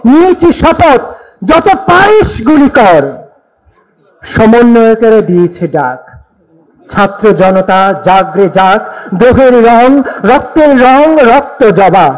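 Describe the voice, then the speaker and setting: A man's voice: very high-pitched, very animated, slow, rough recording. A young man with a Bangladeshi accent gives an impassioned, declamatory recitation of patriotic poetry. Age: young adult.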